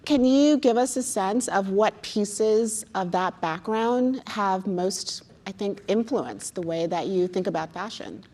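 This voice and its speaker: Woman interviewer, measured, full of fillers. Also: medium pitch, animated, dark tone. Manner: hesitant, many "uh" fillers, measured questions. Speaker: interviewer, fashion critic. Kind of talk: hosted live interview.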